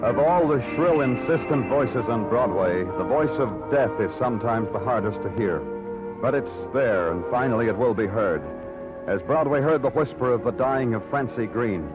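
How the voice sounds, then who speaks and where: An actor playing a terse, world-weary, somber Broadway detective in a radio noir drama. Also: male voice, mid-range voice, natural voice. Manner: clipped, hard-boiled, somber. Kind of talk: 1950s radio noir acting and narration. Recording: muffled old radio recording.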